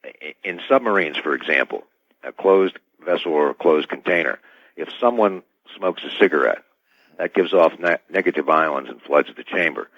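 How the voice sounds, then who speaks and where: A middle-aged man giving formal, halting technical explanations as an expert guest in a radio interview, over a dull band-limited connection. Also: extremely low pitch, animated, dry recording. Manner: hesitant, formal, technical wording. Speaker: expert guest, scientist and inventor.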